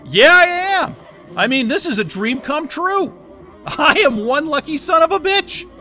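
An actor playing a brainwashed captive in an audio drama, dazed, suggestible and over-eager to please. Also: male voice, very high-pitched, lively intonation, a little slow, bright, forceful tone, a little hiss or hum, exaggerated voice. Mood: elated.

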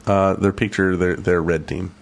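Male co-host with a slightly low voice, conversational, for informal gaming discussion. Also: steady tone, moderate pace, gravelly. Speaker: podcast co-host. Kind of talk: casual gaming podcast chat.

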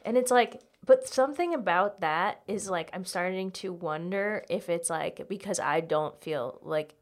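A woman's voice: fairly low pitch, unhurried. A woman in her late twenties chatting casually and confessionally on a podcast, speaking candidly with "like" in nearly every phrase. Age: late 20s. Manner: candid, filler-heavy, uses "like" constantly.

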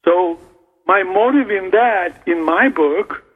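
Slow husky man on phone line. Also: high-pitched. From Turkey. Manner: slow, halting, restarts phrases. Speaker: novelist guest. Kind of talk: radio interview. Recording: phone line, echoey hall.

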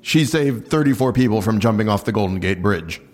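A man's voice: fairly low pitch, expressive, a little fast, a little mellow. An actor playing a guarded male patrol officer in a naturalistic audio drama, blunt, offhand and reluctant to talk. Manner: offhand, reluctant, plain-spoken.

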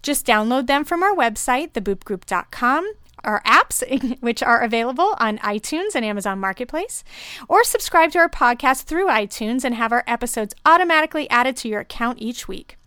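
A woman's voice: on the high side, lively intonation, normal speed, fairly bright tone, clean tone, no room sound, studio quality. A woman hosting a podcast who is also a lactation consultant, reading an upbeat, scripted welcome.

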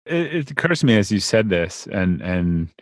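A man's voice: lowish voice, big pitch swings, moderate pace, no echo, clean studio sound. A man hosting an interview, musing aloud and repeating words as he thinks. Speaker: podcast host. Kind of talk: casual interview conversation.

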